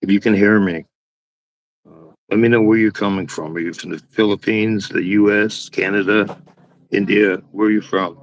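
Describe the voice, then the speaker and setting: A man with a deep, raspy voice hosting a live chat room, chatting casually to himself while he waits for people on a small external mic. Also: fairly even intonation, normal speed, slight background noise, rough recording. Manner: rambling, talks to himself while waiting.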